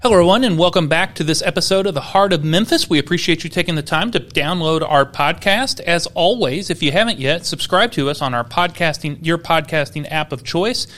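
A man's voice: fairly high pitch, lively intonation, fairly quick, few pauses, fairly bright tone. A man hosting a podcast, chatty and upbeat as he welcomes and questions his guest. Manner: upbeat, chatty with some restarts. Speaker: podcast host.

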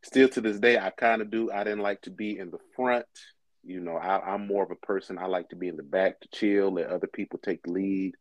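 A man in his twenties, a pastor from Michigan, talking relaxed and conversational in an interview, with frequent fillers. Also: deep voice, a little fast, no room sound, rough recording. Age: 20s.

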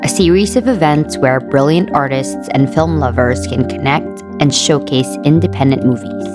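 A woman narrating a documentary feature with a measured, storytelling delivery. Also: deep voice. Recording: music playing behind the voice.

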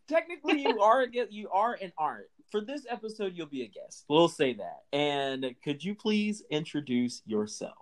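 Playful, slangy podcast co-host, a man. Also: fairly high pitch. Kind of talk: casual podcast hosting.

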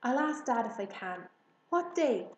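A woman's voice: fairly high pitch, sing-song, measured pace, echoey room, a little hiss or hum. A woman reading a storybook, voicing an eager, curious young girl with emphatic questions.

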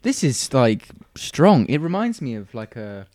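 A man's voice: mid-range voice, sing-song, a little slow, a little mellow, dry recording. A British man co-hosting a casual show, bantering in a jokey, rambling, self-deprecating way. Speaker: co-host of a chat show about beer and snacks.

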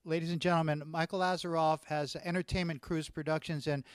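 Excitable guy hosting a zoom chat, kinda high voice, keeps restarting sentences, dry sound. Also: brisk, clear, resonant tone, no echo. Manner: loud, excitable, stumbling restarts. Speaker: host reading fan questions. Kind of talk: casual video-call chat. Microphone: video call.

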